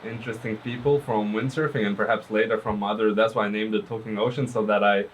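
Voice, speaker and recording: A man hosting a casual podcast, relaxed and loose as he asks open questions. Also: lowish voice, fast talker, a little hiss or hum. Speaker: podcast host.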